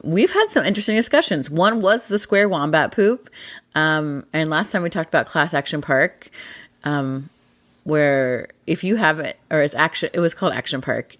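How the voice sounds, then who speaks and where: A woman co-hosts a casual podcast with a husky, somewhat low voice. She rambles in a chatty way and laughs often. The sound is dry and close. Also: no echo. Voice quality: husky morning voice. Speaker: podcast co-host. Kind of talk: casual chat.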